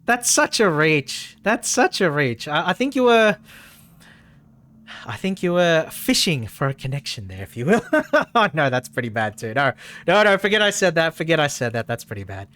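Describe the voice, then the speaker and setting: A man's voice: fairly high pitch, sing-song, normal speed, a bit bright. A man chatting casually through a live game stream, repeating phrases for emphasis, his voice a little tired and strained.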